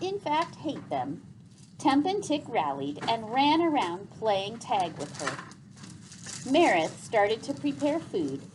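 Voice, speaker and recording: Animated woman reading a story aloud. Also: a little high, background noise. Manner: animated, deliberate read-aloud delivery. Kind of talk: expressive scripted story narration.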